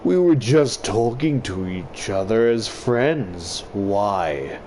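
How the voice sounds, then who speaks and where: A man's voice: on the low side, lively intonation, takes it slowly, darkish tone, slight background noise. A man voicing a menacing, taunting male school bully while reading a visual novel aloud. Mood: defensive.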